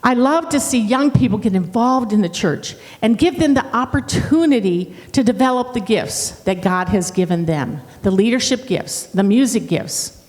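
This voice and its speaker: An older woman from Ohio with a slight Southern twang and a strong, loud voice, speaking as a guest associate pastor in a chatty way. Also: medium pitch. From Ohio, USA. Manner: chatty and warm, with restarts and laughs. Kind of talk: guest church talk. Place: church. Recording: long reverb of a church hall.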